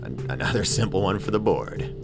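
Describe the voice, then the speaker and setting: A man's voice: low voice, animated, a little fast, gravelly, over music. A man hosting a movie review video, giving comedic commentary in a wry, mock-exasperated way with chuckles.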